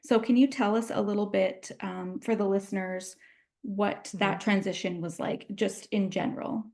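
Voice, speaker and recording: A woman's voice: medium pitch, fairly even intonation, moderate pace, a little mellow. A woman hosting an interview over a video call, asking questions in a friendly, meandering way with plenty of fillers. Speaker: podcast host.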